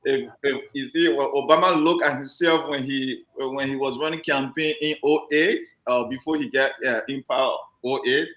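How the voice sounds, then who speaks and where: A man's voice: mid-range voice, fairly even intonation, moderate pace, hardly any pauses, fairly bright tone. A man hosting a community talk show, joking and chatting easily and often repeating his words. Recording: roomy echoey sound.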